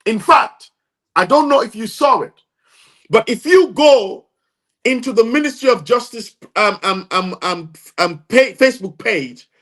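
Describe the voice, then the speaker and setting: High-voiced, emphatic Gambian man. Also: pushed and bright. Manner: emphatic, insistent, repeats phrases for stress. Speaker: interview guest, lawyer. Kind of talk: impassioned interview testimony.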